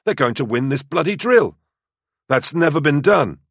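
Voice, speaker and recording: A man's voice: mid-range voice, animated, normal speed, fairly bright tone, no room sound, studio quality, voice pushed up. A man voicing a rowdy, indignant warrior of a squad, blustering and exclaiming, in an audiobook story.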